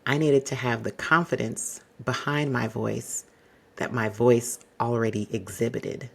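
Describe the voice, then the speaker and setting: A man hosting an advice podcast, talking straight to the listener. His voice is husky with a gravelly edge and stays mostly monotone. His delivery is measured and exacting. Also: medium pitch. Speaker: podcast host.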